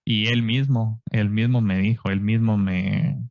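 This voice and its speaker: A man in his thirties from Guatemala with a Guatemalan Spanish accent, telling his story in a relaxed, chatty interview with frequent tag questions. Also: lowish voice, a little slow, no echo. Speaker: guest scientist. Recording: dull lo-fi dry podcast audio.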